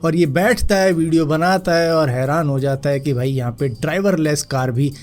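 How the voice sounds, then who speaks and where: A young man with a hoarse throat after a fever, casually rounding up news stories for his viewers. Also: a little high, moderate pace, faint music behind. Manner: chatty, addresses viewers as friends. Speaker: news vlogger.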